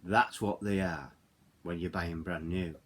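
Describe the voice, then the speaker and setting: A man's voice: low voice, steady tone, unhurried, slightly rough. A middle-aged man with a Yorkshire accent giving a casual hands-on product walkthrough, rambling and repeating himself as he goes. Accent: Yorkshire English. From Yorkshire, England. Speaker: hobbyist radio reviewer.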